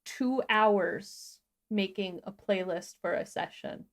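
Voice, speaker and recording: Female tutorial co-host, average pitch, informal and keen, chatting through software steps. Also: slow. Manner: enthusiastic, informal. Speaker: livestream co-host. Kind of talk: casual software tutorial chat.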